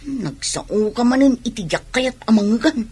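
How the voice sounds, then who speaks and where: A woman's voice: on the high side, lively intonation, a little slow, darkish tone, breathy edge, full character voice. A woman with a Filipino accent playing an unhappy young pregnant wife, complaining and sulky, in a radio drama. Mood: complaining, irritable. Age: young adult. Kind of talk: Ilocano radio drama acting.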